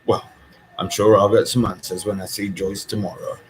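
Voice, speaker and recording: An evasive, awkward father from an audiobook , acted by a male reader. His voice sits low and he answers briefly and hesitantly, keen to get away. Faint noise is audible behind him. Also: level tone, moderate pace, natural voice, low-quality recording. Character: awkward, evasive young father, reluctant to chat. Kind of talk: audiobook character voice.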